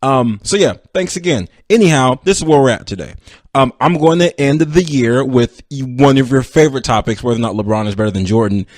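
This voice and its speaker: A man's voice: medium pitch, brisk, darkish tone, no room sound. Chatty young man on a dry mic. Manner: rambling, chatty, self-deprecating, addresses listeners directly. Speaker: podcast host. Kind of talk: casual solo sports podcast.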